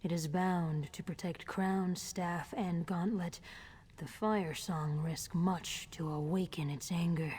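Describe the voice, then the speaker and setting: A woman playing a gentle, ancient nature spirit in a video game, musing dreamily in short, halting poetic phrases with a breathy voice. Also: lowish voice, steady tone. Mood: reverent. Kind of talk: video game character acting. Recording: long cavernous reverb.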